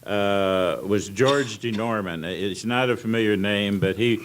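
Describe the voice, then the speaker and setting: An older American man telling anecdotes as a guest on an informal panel, halting and rambling as he reminisces. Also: lowish voice. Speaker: panel guest at old-time radio convention. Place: indoor convention panel room. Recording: clearly noisy convention room recording.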